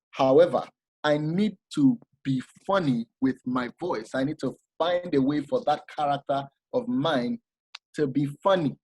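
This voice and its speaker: A man giving an informal masterclass talk, animated and explanatory, often restarting his sentences. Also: mid-range voice, husky, rough recording. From Nigeria. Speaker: masterclass speaker.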